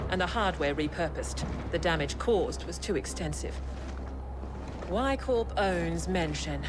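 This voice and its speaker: Cold corporate official for a sci-fi game , played by an actor: formal, measured, condescending, quietly menacing, over music. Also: mid-range voice, close to an everyday voice. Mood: coldly threatening. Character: cold corporate official addressing a hired operative. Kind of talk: scripted video game dialogue.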